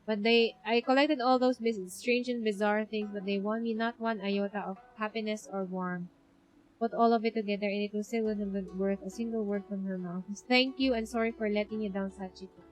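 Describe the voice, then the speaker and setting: A woman's voice: medium pitch, steady tone, brisk, poor audio. A woman with a Filipino accent casually commenting on a live game playthrough and reading its text aloud, music behind her. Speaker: game streamer.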